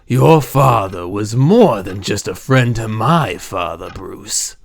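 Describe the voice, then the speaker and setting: A man playing an older crime lord in a comic dub drama, gravelly and deliberate, courteous but menacing and patronising. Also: fairly low pitch, expressive, exaggerated voice. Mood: smooth, pressuring.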